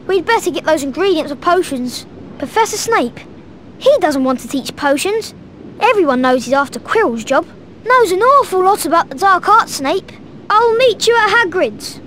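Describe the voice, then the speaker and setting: A woman's voice: very high voice, expressive, moderate pace, fairly bright tone, a little hiss or hum. A woman playing an anxious, plain-spoken young schoolboy sidekick in a video game, with a British accent and a grumbling, dramatic, casual manner.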